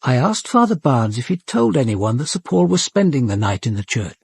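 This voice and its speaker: A British senior detective, very husky with a gravelly creak. He is composed and authoritative, narrating and giving orders matter-of-factly in a dry radio drama recording. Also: mid-range voice, very animated, a little mellow, no room sound, exaggerated voice. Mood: measured, reflective. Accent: British English. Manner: measured, matter-of-fact.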